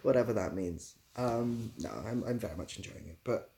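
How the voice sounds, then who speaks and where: A man's voice: on the low side, moderate pace, warm tone. Laid-back man chatting on a livestream. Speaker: live streamer answering chat questions. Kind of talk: casual chat, reading out and answering viewer questions.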